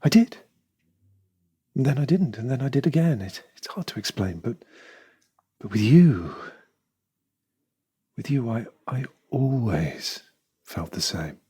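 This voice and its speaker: An actor playing a hesitant, regretful man in an audio drama, with a husky voice and halting, emotional delivery. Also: medium pitch, sing-song, mellow, no echo, clean studio sound, exaggerated voice. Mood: anguished, halting. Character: hesitant, regretful man torn between two women.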